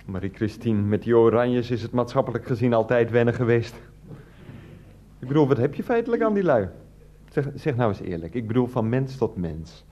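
A man's voice: mid-range voice, lively intonation, moderate pace, mellow, lo-fi sound, close to an everyday voice. A man acting a whiny, self-justifying 39-year-old ex-teacher husband in a radio play, speaking hesitantly with padded phrases. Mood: opinionated, insistent.

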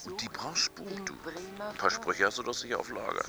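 An actor playing a practical, pushy friend in a radio drama, talking in an insistent, teasing way. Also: male voice, mid-range voice, very animated, brisk, creaky, bright, forceful tone, full character voice. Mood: breezy persuading. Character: pushy, practical male friend urging schemes. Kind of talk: German radio drama acting.

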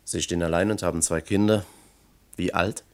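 Radio play casting: actor for earnest bureaucrat and family man, deep, formal, cautious delivery. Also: steady tone, a little mellow, lightly acted. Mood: formal, probing. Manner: measured, formal, probing. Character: earnest male official, father of three, cautiously discouraging. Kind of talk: German radio play acting.

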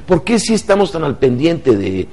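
A man's voice: on the high side, sing-song, normal speed, a little mellow. A man with a Mexican accent giving motivational talk show commentary, emphatic and fond of rhetorical questions.

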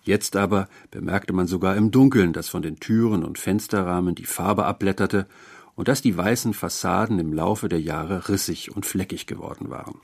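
A man reading a literary short story as a narrator, with measured, carefully articulated delivery. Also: low-pitched, vocal fry, slightly rough. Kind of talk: scripted literary audiobook narration.

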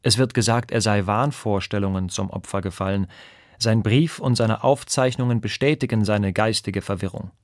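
A man's voice: lowish voice, moderate pace, darkish tone, no room sound, studio quality. A man giving a formal, declarative scripted reading of a news commentary. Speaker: reader of historical radio texts.

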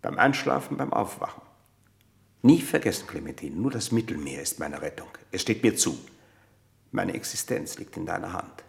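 An actor playing a formal, obsequious servant with quiet menace, speaking in a stilted, overly polite way in a German radio play. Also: male voice, low voice, lively intonation, normal speed, creaky, full character voice. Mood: quietly menacing. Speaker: household servant.

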